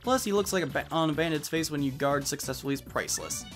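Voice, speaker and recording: A man's voice: on the high side, fast talker, a bit bright. A man giving chatty, rambling, casual commentary as he plays a video game. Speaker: let's player. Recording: music behind the voice. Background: game music.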